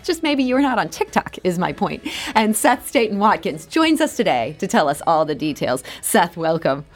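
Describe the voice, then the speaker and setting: A woman's voice: fairly low pitch, very animated, normal speed. An American woman hosting a conversational radio interview.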